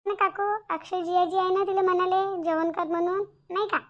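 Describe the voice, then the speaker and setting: A woman acts a polite but crafty young village girl in a cartoon. Her voice is very high and coaxing, with a rural Maharashtrian accent, and the recording is a little dull. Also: steady tone, brisk. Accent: rural Maharashtrian Marathi. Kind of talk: cartoon comedy dialogue.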